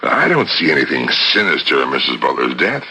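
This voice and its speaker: An actor in a 1970s radio drama playing a pragmatic, skeptical police captain with a brusque, matter-of-fact conversational manner. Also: male voice, extremely low pitch, big pitch swings, brisk. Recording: noisy old radio broadcast recording.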